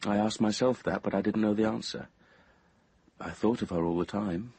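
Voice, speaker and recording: A man's voice: on the low side, normal speed, a little mellow, slightly rough, no echo. An actor playing an infatuated, uneasy young British man in a radio drama, speaking hesitantly and introspectively. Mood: brooding, reflective. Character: earnest, infatuated, uneasy young man telling his story. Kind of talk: radio drama acting with confessional first-person narration.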